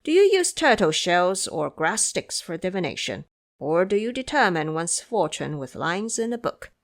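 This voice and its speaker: A woman's voice: fairly low pitch, very animated, normal speed. A woman playing a haughty, hot-tempered young crown prince with an imperious delivery in an audio drama. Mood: skeptical. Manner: imperious, indignant.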